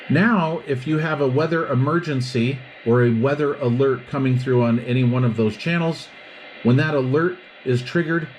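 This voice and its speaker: A man's voice: mid-range voice, a little mellow, a little hiss or hum, poor audio. A man giving a casual hands-on product review, explaining things step by step.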